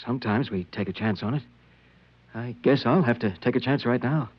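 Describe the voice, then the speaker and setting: A man's voice: mid-range voice, fairly quick, slightly rough, lightly acted. An actor playing an anxious but polite working man, a passing stranger in a 1950s radio drama, plain and mildly nervous. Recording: dry, muffled old radio recording.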